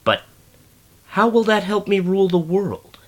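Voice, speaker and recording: A man's voice: high-pitched, unhurried, heavy background noise. A man playing a pompous, petulant mad scientist supervillain in a comic radio play, theatrical and easily exasperated. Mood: gloating.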